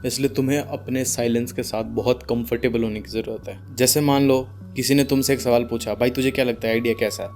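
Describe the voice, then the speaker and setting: A young man with an Indian accent and a deep voice gives informal speaking advice calmly, without strain, mixing in English words and leaving deliberate pauses. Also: soft background music. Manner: calm, unforced, deliberate pauses; mixes English words into speech. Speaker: speaking coach. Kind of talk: informal advice talk to camera.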